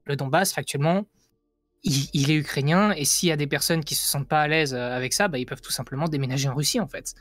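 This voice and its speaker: A man's voice: a little high, fast talker, fairly bright tone, no room sound. A man from France explaining his view in a casual debate, insistent and emphatic, often repeating himself. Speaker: guest giving an opinion.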